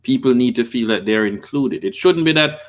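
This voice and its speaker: A man's voice: mid-range voice, moderate pace. A Jamaican man in his forties hosts a casual livestream from his home office, speaking into a desk microphone with a pop filter. He tells stories with energy and gestures, and he reads out viewer comments and answers them. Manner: animated storytelling with hand gestures. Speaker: livestream host.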